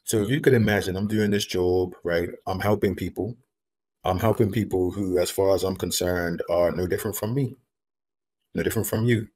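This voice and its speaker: A man in his thirties from Bermuda, a homeless advocate, talking in an earnest, measured way over a video call from home and repeating key phrases for emphasis. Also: sits low, steady tone, darkish tone. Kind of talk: reflective interview talk. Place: room at home. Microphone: video call, laptop camera.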